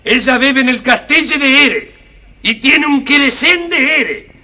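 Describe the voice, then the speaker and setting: A man's voice: very high-pitched, animated, unhurried, sharp tone, exaggerated voice. A man voicing a silly, threatening king in a children's story, pompously garbling words by forcing one vowel into them. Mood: commanding. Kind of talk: recorded children's storybook dramatization.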